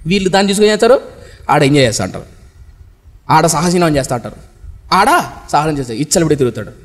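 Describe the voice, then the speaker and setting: A male pastor preaching a fiery sermon, throwing out rhetorical questions and swinging between loud outbursts and low, heavy lines. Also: expressive, fast, long reverb tail.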